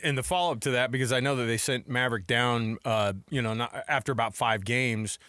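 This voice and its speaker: A man with an American accent and a bright, forceful voice, close and dry in the sound. He co-hosts sports talk radio with casual banter, rambling through a thought and rewording it several ways. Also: mid-range voice, brisk, clear, resonant tone, dry recording. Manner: informal, rambling, rephrases questions.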